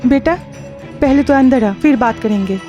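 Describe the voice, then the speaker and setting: A woman acts a middle-aged village mother in a cartoon ghost story. The voice is high and gentle, soothing with an uneasy edge. Faint music runs underneath. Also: moderate pace, dull audio, lightly acted. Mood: uneasy questioning. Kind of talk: Hindi cartoon horror story acting.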